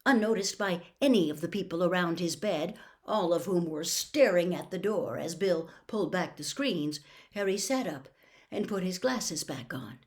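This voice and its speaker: A woman's voice: fairly low pitch, animated, normal speed. A woman narrating a storybook expressively as an audiobook reader.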